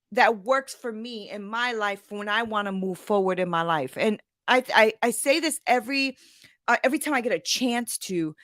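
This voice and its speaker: A woman's voice: a little high, fairly bright tone, no room sound, clean studio sound. A woman in her forties hosting a conversational motivational monologue, emphatic and blunt, with slangy phrasing and frequent rhetorical check-ins. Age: 40s. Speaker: podcast host and coach.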